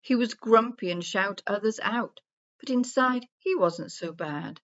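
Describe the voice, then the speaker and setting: A woman storyteller reading a rhyming children's story aloud in a measured, singsong rhythm. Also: mid-range voice, lively intonation, fairly bright tone, lo-fi sound.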